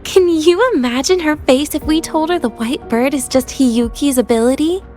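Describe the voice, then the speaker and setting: A woman playing a composed young student in a video game, with dry humor and a gently teasing manner. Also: sits high, moderate pace, soft background music, lightly acted.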